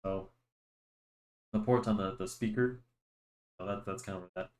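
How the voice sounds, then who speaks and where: A young man with a lowish voice talks loosely as he draws, looping back through restarts and fillers. He has a cold and sounds a bit nasal and stuffy, recorded on a desk microphone. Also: fairly even intonation, normal speed, a little mellow. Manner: rambling, lots of restarts and fillers. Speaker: drawing channel host. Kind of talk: casual chat while drawing.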